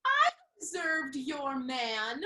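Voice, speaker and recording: A woman's voice: sits high, big pitch swings, very slow, bright, forceful tone, reverberant, exaggerated voice. An actress playing a bold, witty young noblewoman in a Restoration comedy, with spirited, teasing emphasis. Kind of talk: Restoration comedy stage acting.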